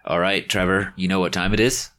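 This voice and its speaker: A man co-hosting a podcast, joking in relaxed banter. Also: deep voice, fairly quick.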